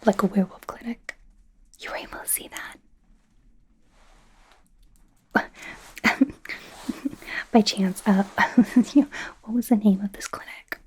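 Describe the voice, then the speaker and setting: A woman acts out a young female werewolf studying to be a doctor, in an ASMR roleplay. She speaks in a breathy whisper, soft and mellow, at an unhurried pace. Her manner is chatty, awkward and gently sarcastic. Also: medium pitch, fairly even intonation. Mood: curious, wry.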